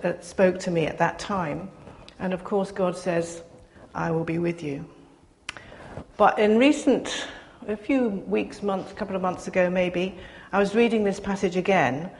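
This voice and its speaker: Older woman preacher, soft and reflective, low voice, faint hiss, slightly distant mic. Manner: gentle, reflective, soft-spoken with pauses. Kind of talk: personal sermon to a congregation.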